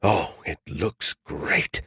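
A man voicing a cocky, chatty artificial intelligence in an audiobook, talking in a loud, eager, teasing way. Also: very deep voice, very slow, slightly rough, no room sound, clean studio sound, voice pushed down, full character voice. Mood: mocking, teasing.

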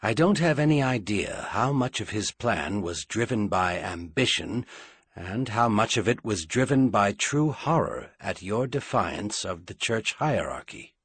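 A man voicing a calm, loyal royal advisor in an audiobook, quiet and deliberate as he reasons things through. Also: on the low side, breathy edge, no echo, exaggerated voice. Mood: sober, apologetic.

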